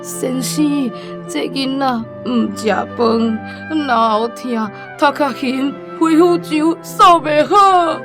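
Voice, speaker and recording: Fretful mother, high voice, complaining, radio play, clear music behind. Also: slow, few pauses. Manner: complaining, insistent. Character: worried, complaining mother of two boys. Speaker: radio drama actor. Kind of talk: radio drama acting. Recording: music playing under the voice.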